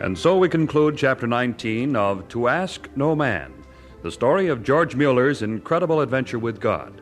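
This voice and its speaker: Organ music plays behind a male radio announcer. He reads a scripted closing with animated, varied intonation at a medium pitch. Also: normal speed.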